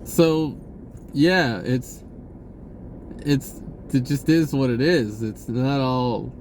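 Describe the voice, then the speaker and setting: A man speaking in a casual, rambling spiritual monologue, halting and drifting in an informal way. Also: mid-range voice, fairly even intonation, a little mellow, slight background noise, poor audio. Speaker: spiritual vlogger.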